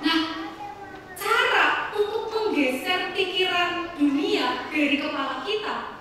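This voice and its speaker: A woman delivers a church sermon in a conversational manner, speaking directly to the congregation. Her voice is extremely high. It is picked up at a distance in a hall with long reverberation. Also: slow, sharp tone. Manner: conversational, repeatedly addressing the congregation. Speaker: preacher. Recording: echoey hall, distant mic, faint noise.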